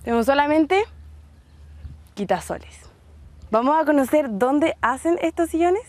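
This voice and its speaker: Una mujer joven de Chile con acento chileno, que presenta un reportaje de viaje al aire libre y le habla con entusiasmo a la cámara. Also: female voice, sits high, expressive, a little slow, a little mellow, no room sound. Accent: Chilean Spanish. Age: young adult. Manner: chatty, enthusiastic, addresses viewers directly. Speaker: exchange student presenting a travel segment. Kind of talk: casual travel presenting to camera. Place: outdoors in a seaside resort town and on a beach.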